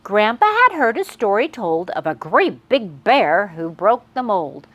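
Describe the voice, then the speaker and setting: A woman's voice: medium pitch, sing-song, measured pace, a bit bright, no room sound. A woman hosting a children's read-aloud, telling a picture-book story expressively to young viewers.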